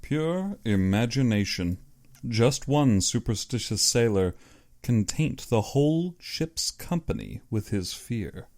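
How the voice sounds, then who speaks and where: A man's voice: mid-range voice, very animated, slow, darkish tone, no room sound, clean studio sound. A man voicing a pragmatic, dismissive big-game hunter in an audiobook, blunt and self-assured. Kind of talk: audiobook character dialogue.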